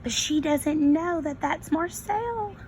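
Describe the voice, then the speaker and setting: A woman kindergarten teacher reading a story to young children, expressive and instructive, prompting them with questions. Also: high voice, takes it slowly, slight background noise. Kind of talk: read-aloud lesson for young children.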